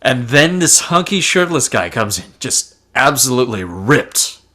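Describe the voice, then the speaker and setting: A man's voice: mid-range voice, sing-song, a little slow, bright, forceful tone, full character voice. A man playing an earnest, dorky, polite ghost in an online table read of a sitcom script. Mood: gushing. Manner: eager, rambling, polite. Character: chipper, earnest, dorky male ghost who loves movies. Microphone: video call.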